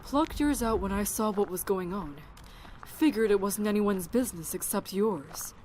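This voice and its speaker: A woman's voice: medium pitch, normal speed, a little mellow, a little hiss or hum, natural voice. An actress playing a perceptive woman doctor in a zombie apocalypse audio drama, chatty and matter-of-fact. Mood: frank, understanding.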